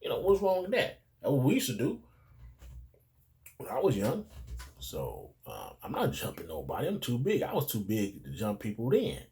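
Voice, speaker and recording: A man's voice: mid-range voice, huge pitch swings, moderate pace, darkish tone. A middle-aged American man telling personal stories casually, in a rambling, digressive way with street slang. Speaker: storyteller recalling his youth.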